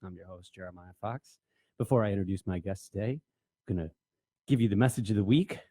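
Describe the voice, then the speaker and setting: A man's voice: deep voice, fast talker, mellow, no echo. A man hosting a radio show, chatting informally and joking with his guest. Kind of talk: casual chatty radio interview.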